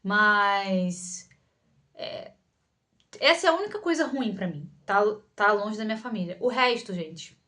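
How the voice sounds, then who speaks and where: A woman's voice: mid-range voice, lively intonation, unhurried, sharp tone. Young Carioca woman chatting casually. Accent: Carioca Brazilian Portuguese. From Rio de Janeiro, Brazil. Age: young adult. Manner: informal, conversational, frequently addresses listeners with check-in tags. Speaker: psychologist answering followers' questions. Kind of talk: casual talk to camera, Q&A.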